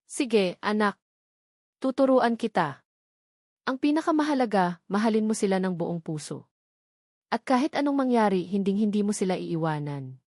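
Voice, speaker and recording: A tender young husband and father in an audiobook love story, acted out by a woman narrator. He speaks gently and sincerely, with earnest devotion to his family. The recording is dry. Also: mid-range voice, lively intonation, normal speed, long pauses, no echo.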